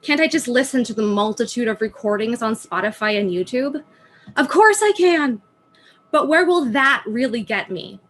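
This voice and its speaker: A woman's voice: a little high, animated, normal speed, a bit bright, a little hiss or hum. A woman student presenting a prepared talk over a video call, telling a story and asking rhetorical questions. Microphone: video call microphone.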